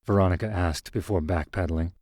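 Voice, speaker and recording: A man narrating a short story in first person with calm, measured delivery. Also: sits low, no echo, studio quality.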